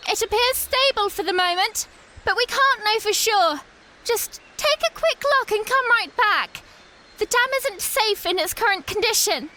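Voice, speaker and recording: A caring, scholarly young princess, acted by a woman, with a very high voice that rises and falls widely. Her delivery is expressive fantasy audio drama, with faint noise behind it. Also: measured pace, exaggerated voice. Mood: urgent. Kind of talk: cinematic audio drama acting.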